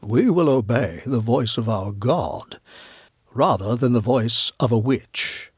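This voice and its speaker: A man voicing a wise old magus in a storybook, courteous and measured, tender yet firm. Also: medium pitch, animated, slightly rough, no echo, clean studio sound. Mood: resolute. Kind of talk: storybook narration character voice.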